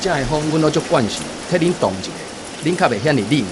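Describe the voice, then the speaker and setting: A man's voice: fairly high pitch, expressive, fast, background noise, natural voice. A man with a Taiwanese accent playing a modest, helpful local volunteer in a radio drama, unassuming and reassuring.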